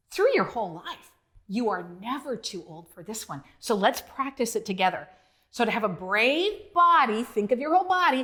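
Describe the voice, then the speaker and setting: High, very animated woman storyteller. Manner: encouraging, expressive, asks questions. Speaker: children's author and story reader. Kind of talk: storytelling addressed to young children.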